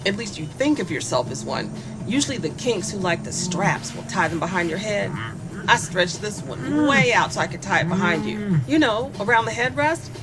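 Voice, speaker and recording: A woman playing a gleefully sadistic killer in an audio horror drama, taunting in a mock-sweet, drawn-out way. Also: mid-range voice, expressive, music in the background, natural voice. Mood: smug, teasing.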